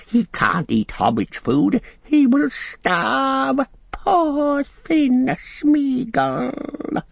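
Sickly wheedling creature, storybook character, very slow, gravelly, strangled hiss, self-pitying. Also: male voice, very high voice, wildly swooping pitch, dry recording, dull audio, pitched above the performer's own voice, heavily acted. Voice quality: raspy, hissing, strangled. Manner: repeats words, refers to self in third person, drawn-out. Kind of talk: audiobook character acting.

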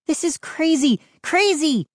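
A shrill young girl in a children's audiobook, acted out by a woman, frightened and full of outrage. Her voice sits very high and she exclaims indignantly, in a close, dry recording. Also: expressive, measured pace, no room sound. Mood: outraged shrieking. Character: frightened, outraged girl, the narrator's shrieking sister. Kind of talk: audiobook character voice.

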